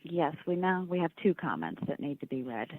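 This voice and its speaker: A woman's voice: deep voice, sing-song, fairly quick, background noise. A woman staff member reading written public comments aloud at a meeting. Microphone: phone or conference call. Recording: dry, tinny phone-like line.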